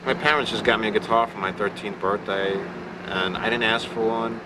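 A man's voice: on the low side, brisk, over loud music, rough recording. A young man, a rock guitarist, telling in a casual interview how he started playing, in plain storytelling with restarts.

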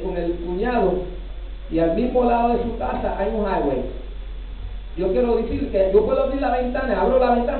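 A man's voice: extremely high pitch, a little hiss or hum. A middle-aged man, a New York-born Puerto Rican pastor, preaching a fervent testimony at a church pulpit, peppering his Spanish with hallelujahs and bits of English. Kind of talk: Pentecostal sermon testimony. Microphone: handheld mic, recorded from far back. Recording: distant, echoey, tinny church recording.

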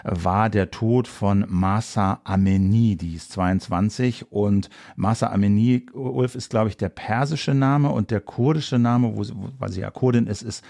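A man co-hosting a political podcast, speaking in a low, gravelly register with a darker, mellow tone. His delivery is brisk and conversational, with the odd filler. The sound is dry and close. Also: dry recording. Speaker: podcast co-host, journalist.

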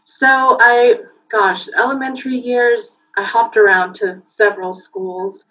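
A woman's voice: on the high side, steady tone, a little slow, low-quality recording. A woman in her thirties from Mexico whose first language is Spanish, telling stories with fillers and laughter on a video call from home. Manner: warm storytelling, lots of fillers, bursts of laughter. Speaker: guest. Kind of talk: casual interview over video call. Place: home room, indoors. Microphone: laptop webcam on a video call.

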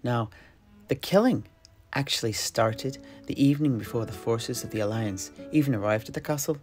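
A man's voice: medium pitch, expressive, normal speed, a little mellow. Male fantasy storyteller over guitar music. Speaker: in-character storyteller. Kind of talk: in-character fantasy storytelling, conversational. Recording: music behind the voice. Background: plucked guitar music.

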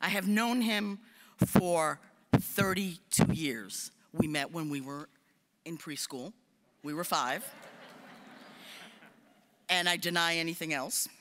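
Man reading an intro at a graduation, bright voice, little jokes, hall echo. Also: fairly high pitch, slow pace, long pauses, slight background noise. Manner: warm, joking aside in formal introduction. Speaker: speaker introducing the commencement speaker. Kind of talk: formal introduction speech. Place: large hall stage at podium. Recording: long hall reverb.

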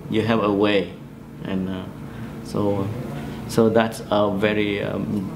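A man in his forties from Vietnam with a Vietnamese accent, recounting memories in a halting, hesitant way as he searches for words in an interview. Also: on the low side, level tone, gravelly, background noise. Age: 40s. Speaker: interviewee, musician. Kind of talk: oral history interview, personal recollection. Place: small bedroom, indoors.

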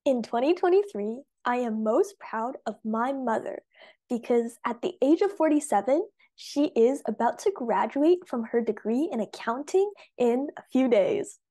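A girl in her early teens from Canada reads her anchor script in a steady, earnest way. Her voice is high but soft and mellow, heard over a home webcam. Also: normal speed. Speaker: news anchor. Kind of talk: scripted news presenting.

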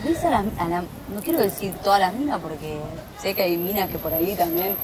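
Argentine girl in her 20s chatting on a terrace, slangy, lots of ems and restarts, lowish voice, loud crowd behind. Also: expressive, fairly quick. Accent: Argentine Spanish. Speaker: guest. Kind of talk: casual chat. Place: outdoor terrace, seated at a table. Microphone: lapel.

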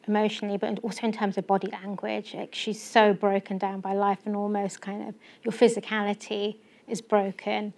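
Woman, 30s, interviewer on stage, level even delivery, casual "kind of" phrasing. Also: mid-range voice. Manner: gentle, conversational, uses fillers like "kind of". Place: stage of a small theater.

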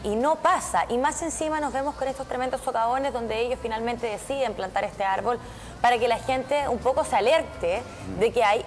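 A woman commenting emphatically as a studio news anchor. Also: a little high.

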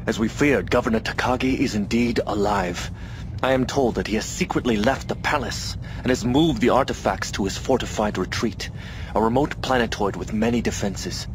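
An actor playing a grave, calculating rebel leader in a video game, with a measured, formal manner. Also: male voice, fairly low pitch, noisy background, lightly acted. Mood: formal, determined. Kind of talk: video game cutscene acting. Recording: loud music behind.

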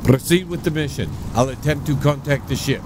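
An actor playing a commanding, self-important starship captain with a brisk manner in a sci-fi comedy audio drama. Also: male voice, mid-range voice, background noise, natural voice. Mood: impatient.

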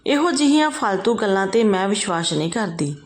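Female narrator as young married woman from a family story; loving, earnest, reassuring, medium pitch. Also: very animated, a little fast, natural voice. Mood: concerned, reassuring. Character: affectionate young married pregnant sister-in-law.

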